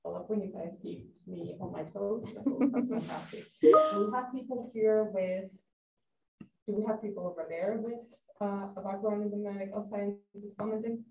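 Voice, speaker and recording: A woman's voice: mid-range voice, normal speed, creaky. A woman in her thirties from Spain, whose first language is Spanish, giving a friendly public science lecture and asking the audience questions. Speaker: guest lecturer, postdoctoral researcher. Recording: roomy hall, distant mic, light noise.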